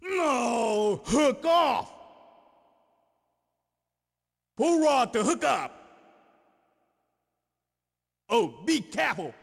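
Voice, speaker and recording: A man voicing an excitable, exclamatory fishing guide who announces and cheers the player on in an arcade video game. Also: extremely high pitch, slow pace, vocal fry, in a large hall, exaggerated voice. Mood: alarmed, urgent. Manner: exclamatory, theatrical.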